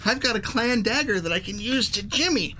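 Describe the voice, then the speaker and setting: A woman's voice: a little high, expressive, fairly quick, pushed and bright, slight background noise. A woman playing a tabletop game, chatting casually as she describes what her character does.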